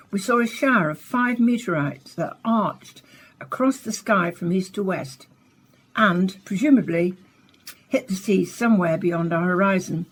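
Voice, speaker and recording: An actress playing a composed, courteous radio science presenter in a radio play, speaking in a polished, formal way. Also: female voice, medium pitch, slight background noise. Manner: polished, formal, carefully phrased. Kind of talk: scripted radio play, science broadcast delivery.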